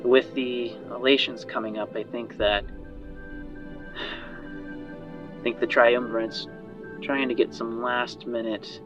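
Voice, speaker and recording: Man playing female inventor for tabletop game: chatty, loyal, worried, hesitant delivery. Muffled sound, music bed. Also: medium pitch. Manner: hesitant, rambling, trailing off and sighing. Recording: muffled band-limited audio under music.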